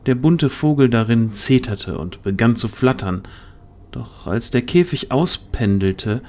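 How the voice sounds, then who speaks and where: A man's voice: medium pitch, animated, measured pace, darkish tone. A man narrating a fantasy audio drama as a scripted storyteller.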